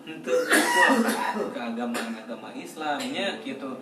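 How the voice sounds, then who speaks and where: A man's voice: mid-range voice, animated, a little slow. A man with a West Javanese accent chatting casually and explaining things with examples in a jovial way, as a talk show host. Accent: Sundanese-speaking West Java. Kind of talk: casual explanatory group chat in Sundanese. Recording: echoey, distant mic, loud background noise.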